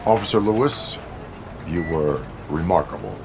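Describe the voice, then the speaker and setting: An actor playing a stoic, mechanical cyborg police officer in a video game, deadpan and measured. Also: male voice, a bass voice, noisy background. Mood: solemn.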